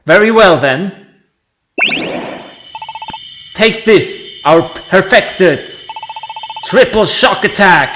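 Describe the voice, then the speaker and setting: Stern creature lawman played by a man, slightly high, formal and declamatory game character, music behind. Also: animated, extremely slow, pauses often, exaggerated voice. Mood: proud. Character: stern male sheriff creature, formal and proud. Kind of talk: amateur video game voice-over.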